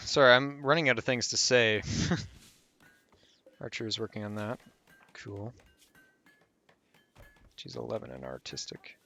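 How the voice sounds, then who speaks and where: A man's voice: lowish voice, animated, slow, vocal fry, long pauses, bright, forceful tone, soft background music. A man talking casually over a strategy game guide while losing his voice, rambling and trailing off with fillers. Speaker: gameplay guide host.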